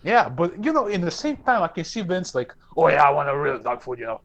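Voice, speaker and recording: A man's voice: a little high, animated, a little fast, vocal fry, fairly bright tone. A man from Serbia co-hosting a podcast, talking emphatically with plenty of swearing and lots of "dude". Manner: emphatic, profane, says "dude" often. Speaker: podcast co-host. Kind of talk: casual chat.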